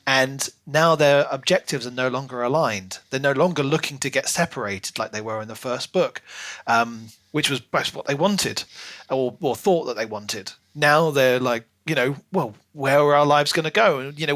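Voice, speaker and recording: A man's voice: medium pitch, moderate pace, a bit bright. A man co-hosting a podcast, talking casually through a book and acting out bits as he explains. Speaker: podcast co-host.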